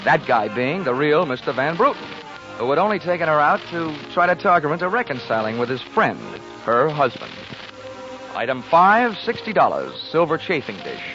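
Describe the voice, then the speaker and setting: A brash, hard-boiled American gumshoe in a 1940s radio play. His sardonic, slangy delivery swings up and down in pitch, and music sits behind the dull old recording. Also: sing-song, moderate pace. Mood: wry, dry. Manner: sardonic, slangy, tough-talking. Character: brash wisecracking hard-boiled male private investigator. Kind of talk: 1940s radio acting, first-person detective narration.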